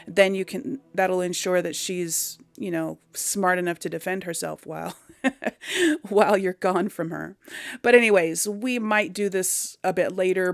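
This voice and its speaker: Female let's-play host, lowish register with vocal fry, chatty explainer thinking out loud. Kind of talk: casual unscripted gameplay commentary.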